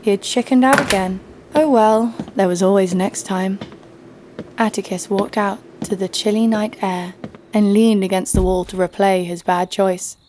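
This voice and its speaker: A woman's voice: medium pitch, steady tone, moderate pace, noisy background, lightly acted. A woman playing a sassy, snarky heroine who narrates an audio drama story over faint music. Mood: storytelling. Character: sassy, snarky female sidekick-owning storyteller, fond but teasing. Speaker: narrator and lead. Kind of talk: scripted first-person story narration.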